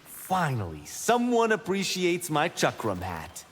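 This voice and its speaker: An actor playing a cocky, brash young martial-arts monk trading boastful, teasing banter in a video game. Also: male voice, fairly high pitch, huge pitch swings, measured pace, a bit bright, husky, heavily acted. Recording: reverberant game audio with background noise.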